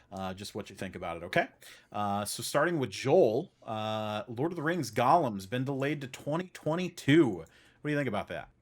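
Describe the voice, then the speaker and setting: Male podcast host, mid-range voice, informal and joking, running a casual gaming chat. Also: medium pitch. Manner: informal, joking, prompts the others.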